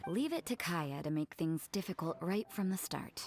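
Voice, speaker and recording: A woman playing an aloof, world-weary young nun in a game, deadpan and curt. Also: lowish voice, moderate pace, soft background music. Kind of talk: game cutscene voice acting.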